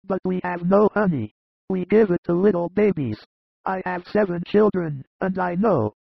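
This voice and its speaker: Man voicing a practical fatherly shepherd, voice pitched up, gravelly, lo-fi. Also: moderate pace, dry recording, full character voice. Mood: advising. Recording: dull lo-fi recording.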